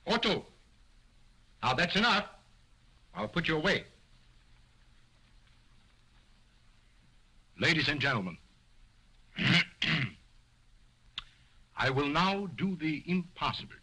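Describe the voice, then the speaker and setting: An actor playing an arrogant, quick-tempered male ventriloquist in an early talkie film, boastful and scolding. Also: on the high side, big pitch swings, bright, forceful tone, husky, heavily acted, rough recording. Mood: bossy.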